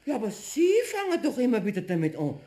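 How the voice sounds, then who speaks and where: A woman's voice: medium pitch, sing-song, fast talker, mellow, close to an everyday voice. An actress playing a fussy, superstitious neighbour woman in a radio play, fretful and chatty, with a Bavarian accent. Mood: indignant. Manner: fretful, garrulous, exclaiming. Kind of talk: German radio play acting.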